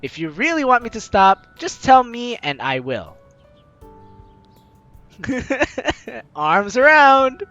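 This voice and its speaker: A woman's voice: on the high side, big pitch swings, slow pace, long pauses, fairly bright tone, muffled recording, soft background music. A young woman reading a visual novel aloud as a gaming host, with theatrical, teasing asides. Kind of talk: animated let's-play read-aloud and commentary.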